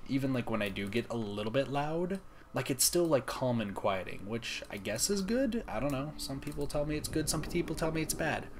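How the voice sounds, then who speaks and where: A young man streaming a game late at night, talking softly and calmly, almost whispering so as not to wake anyone. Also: mid-range voice, a little fast, slight background noise. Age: young adult. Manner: soft, calm, near-whispering. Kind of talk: casual gaming stream chat. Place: room at home, late at night.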